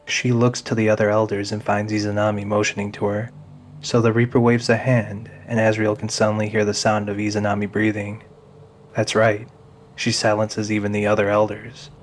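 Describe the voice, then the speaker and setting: A man's voice: on the low side, monotone, normal speed, a little hiss or hum. A man narrating a scripted fantasy audio drama in the role of storyteller. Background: music under the narration.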